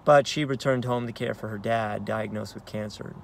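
A man in his thirties with an American accent, an actor reading a play as conversational, halting narration with uneven emphasis, recorded inside a car. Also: on the low side, fairly even intonation, gravelly, a little hiss or hum. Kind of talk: dramatic narration of a play read on book. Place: inside a parked car in daylight.

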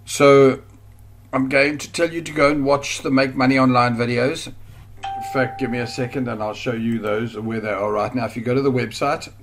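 A man with a South African accent giving an informal screen-recorded tutorial, rambling with fillers and restarts. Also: medium pitch. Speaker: online course instructor. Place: at a computer, voice-over screen recording.

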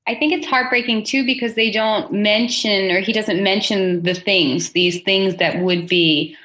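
A woman's voice: mid-range voice, fairly even intonation, measured pace, fairly bright tone. A woman on a literary podcast, reading and discussing poetry. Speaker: podcast panelist.

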